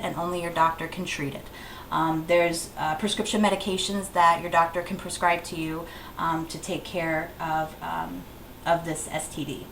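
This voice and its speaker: Woman, lowish voice, instructive clinic educator, informal presentation, roomy echo. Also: fairly bright tone. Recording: roomy echo, faint background noise.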